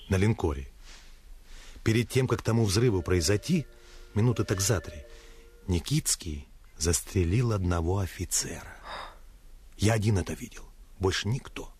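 An actor playing a seasoned, fatherly military commander, talking in a confiding, measured way in a radio drama. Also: sits low, expressive, darkish tone. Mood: confiding, grave. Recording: radio play with music underneath.

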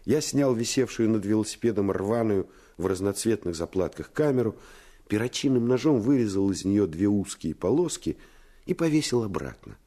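A man recounting his boyhood in a radio play. The voice is low and husky, with lively swings of intonation and an expressive, literary storytelling manner. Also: normal speed. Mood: reflective, suspenseful.

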